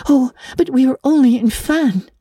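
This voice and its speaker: A woman's voice: high voice, normal speed, warm tone, husky, dry recording, clean studio sound, pitched above the performer's own voice. A woman voicing an eager, earnest orphan girl in a storybook reading, talkative and polite, with breathless stammers. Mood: earnest pleading.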